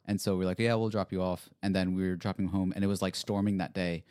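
A man with a fairly low voice chats casually as a podcast co-host, often breaking into laughter while telling stories. The sound is dry and close to the mic. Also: fairly even intonation, fast talker, darkish tone, clear, resonant tone, no echo. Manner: laughs often, tells anecdotes.

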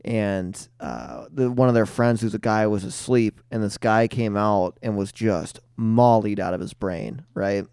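A man's voice: lowish voice, a little slow, gravelly, hardly any pauses, darkish tone, smooth, no echo, clean studio sound. A man co-hosting a podcast, telling stories and joking with lots of swearing. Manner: storytelling, joking, swears freely. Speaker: podcast co-host. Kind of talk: crude casual banter. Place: podcast studio.

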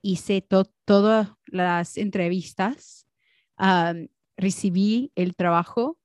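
A woman co-hosting a podcast in a relaxed, unhurried way, now and then slipping into English. Her voice sounds close and dry, with no room around it. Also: mid-range voice, no room sound. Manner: relaxed, mixes in English words, laughs often. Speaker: podcast co-host. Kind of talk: casual chat.

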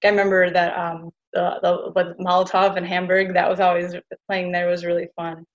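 Woman from the band, chatty, a bit low voice, muffled lo-fi audio. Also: level tone, moderate pace. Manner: chatty, laughing, loose fillers. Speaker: band member, guest. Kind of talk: casual interview chat.

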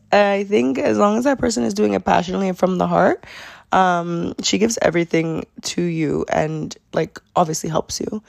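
A young woman hosting her own podcast in a sincere, chatty solo talk, her voice a little raspy today, with frequent "um"s. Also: medium pitch, darkish tone. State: slightly raspy voice. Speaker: podcast host.

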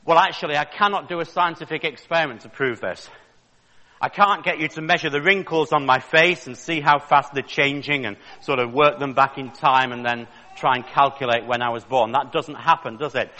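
A man's voice: medium pitch, brisk, pushed and bright. A man in his fifties from the north of England, giving a joking, chatty lecture to an audience. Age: 50s. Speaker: creationist speaker. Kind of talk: public lecture. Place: large lecture hall. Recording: long reverb of a large hall.

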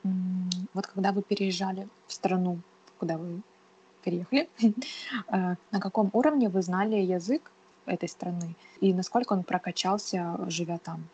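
A woman's voice: lowish voice, fairly even intonation, normal speed, mellow, breathy edge, low-quality recording. A woman from Ukraine hosting a casual, conversational podcast. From Kyiv, Ukraine. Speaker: podcast co-host.

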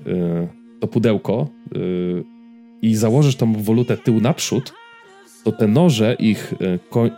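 A man's voice: fairly low pitch, mellow. A Polish man co-hosting a podcast, talking casually and explaining things informally to his co-host and listeners. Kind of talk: casual pop-culture podcast chat.

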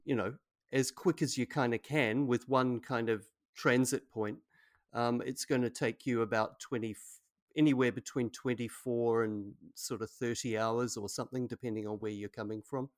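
A man from New Zealand with a New Zealand accent, an English language teacher explaining things in a relaxed way with frequent ums. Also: medium pitch, normal speed, no room sound, studio quality. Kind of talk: casual conversation.

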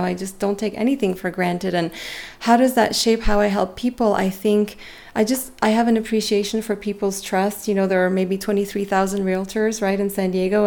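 A woman's voice: mid-range voice, gravelly, darkish tone. A woman in her forties, a native French speaker, talking earnestly and reflectively as a guest in a conversational interview. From Lebanon and Montreal, Canada. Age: 40s. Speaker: interview guest, real estate agent. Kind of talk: conversational personal interview storytelling.

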